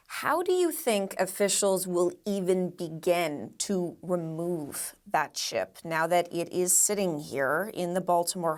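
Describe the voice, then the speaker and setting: A female news presenter with a fairly low voice interviews a guest on a live broadcast. Her questioning is measured and courteous, with an earnest tone.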